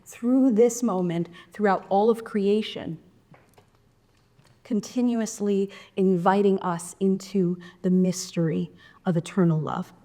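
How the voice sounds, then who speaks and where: Woman, painter, measured devotional read, mid pitch. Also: steady tone, dark tone. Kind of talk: reading a prepared artist statement.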